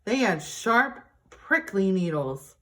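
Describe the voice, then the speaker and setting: A woman reading a picture book aloud to young children in an expressive, deliberate teacher's way. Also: medium pitch.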